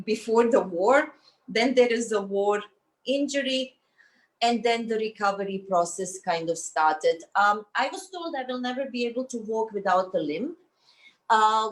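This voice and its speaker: A woman, a guest recounting her life in an earnest, measured way. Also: mid-range voice, rough recording. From Bosnia. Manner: earnest, measured, many fillers. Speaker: guest, fitness teacher. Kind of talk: reflective personal storytelling.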